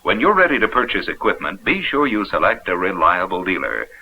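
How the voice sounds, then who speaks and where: Low american guy doing old 70s instructional film narration, lively intonation, muffled soundtrack. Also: a little fast. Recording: dull, noisy vintage 16mm film soundtrack.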